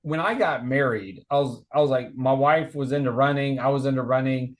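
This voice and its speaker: A man's voice: medium pitch, a little fast. Earnest, rambling man in his forties. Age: 40s. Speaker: podcast guest. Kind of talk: casual conversation on a video call. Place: home, remote video call. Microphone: video call microphone.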